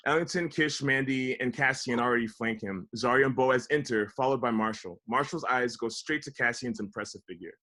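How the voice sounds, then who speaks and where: A man's voice: mid-range voice, a little fast, hardly any pauses, pushed and bright. A man narrating scripted stage directions for a table read. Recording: online video call table read.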